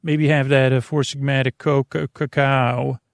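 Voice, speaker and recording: A man hosting a bedtime podcast, rambling and halting as he loses his thread, with gentle, silly deadpan humor. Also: medium pitch, vocal fry, no room sound. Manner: meandering, halting, often losing his thread. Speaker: podcast host.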